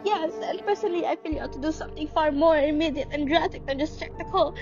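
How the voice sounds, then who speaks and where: A woman's voice: sits high, quick pace. A woman acting an anxious, demanding, bedridden wife in a radio play, agitated and indignant as she insists on being heard. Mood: insistent, fretful. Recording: muffled recording under loud dramatic music.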